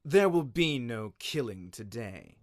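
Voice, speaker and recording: A man voicing a cold, cruel king with a slow, menacing, imperious manner in a mythic audio story. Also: medium pitch, expressive, noisy background, voice pushed down. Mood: cold, accusing.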